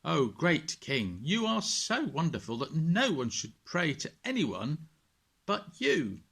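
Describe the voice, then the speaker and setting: A man's voice: a little high, huge pitch swings, slow pace, creaky, fairly bright tone, breathy edge, voice pushed up, heavily acted. Scheming, fawning royal servant, read by a man. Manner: fawning, tale-telling. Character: scheming, flattering royal servants, adult men. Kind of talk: children's Bible storybook reading.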